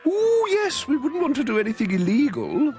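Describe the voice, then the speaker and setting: A man voicing a sweet but sinister, excitable woman librarian. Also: very high-pitched, moderate pace, soft background music, voice pushed up, full character voice. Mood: gushing. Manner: fluttery, gushing, excitable.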